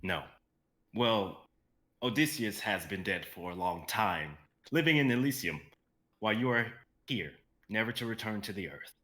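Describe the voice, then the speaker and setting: A defiant hero, played by a man in a script reading, with a low, forceful voice. He stays cool-headed and taunts his enemy calmly. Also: moderate pace. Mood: taunting.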